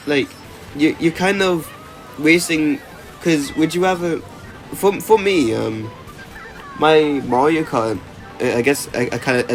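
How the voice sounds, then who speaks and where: A man's voice: medium pitch, rough recording. A young man talking casually in a vlog, rambling with lots of stammered restarts, "like" fillers and casual swearing. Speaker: YouTuber.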